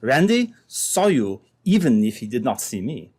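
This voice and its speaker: Casting: male actor as sly gold thief for old-time radio, formal and smooth, very varied pitch. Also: very animated, a little mellow. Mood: sly, cautioning. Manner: smooth, formal, deliberate phrasing. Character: smooth-talking, scheming Frenchman, a gold-robbery accomplice.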